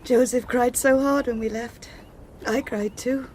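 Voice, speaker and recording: A wistful, plaintive young nun in a radio play, played by a woman. The voice sits fairly high, with a faint hiss behind it. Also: fairly even intonation, unhurried, a little mellow, close to an everyday voice. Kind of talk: radio drama acting.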